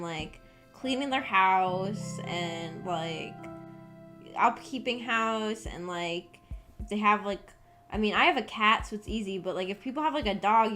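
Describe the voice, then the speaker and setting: A young woman talks off the cuff to her livestream chat over clearly audible background music. Her pitch sits around the middle for a woman. She rambles informally, hesitating and circling back as she thinks aloud. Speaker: VTuber streamer. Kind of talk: casual livestream chat with viewers.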